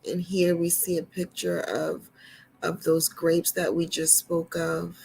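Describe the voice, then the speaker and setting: Woman reading a kids story, slightly low voice, really slow and deliberate, little chatty asides. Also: little pitch movement, creaky, a little mellow, a little hiss or hum, rough recording. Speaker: storytime host and reader.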